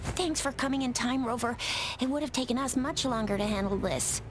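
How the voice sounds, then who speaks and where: An actress playing a friendly, capable young city guard in a video game, chatty and upbeat. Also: female voice, a little high, brisk, a little hiss or hum, close to an everyday voice. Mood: relieved, brisk. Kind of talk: video game dialogue acting.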